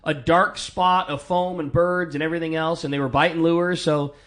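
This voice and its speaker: Man, 30s, fishing radio co-host. Loud, powerful voice, slightly high, laughing storyteller. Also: steady tone, normal speed. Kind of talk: casual radio chat.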